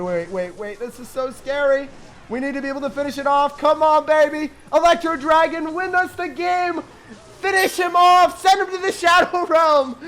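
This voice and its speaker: A young man giving hyped, playful, slangy commentary over gameplay as a video host. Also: high-pitched. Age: young adult.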